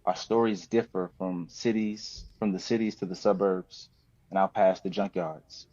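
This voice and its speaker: A man's voice: low-pitched, steady tone, normal speed, a little mellow. An American man of thirty from the West Coast, a poet speaking as a podcast guest. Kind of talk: poetry reading and casual chat. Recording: dull lo-fi roomy sound.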